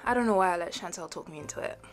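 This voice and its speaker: A woman's voice: lowish voice, rapid-fire, soft background music, close to an everyday voice. A woman playing a playful, shyly fond young best friend in an intimate audio roleplay, giggly and hesitant. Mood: sheepish. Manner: giggly, hesitant, soft trailing asides. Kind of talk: intimate audio roleplay, one side of a conversation.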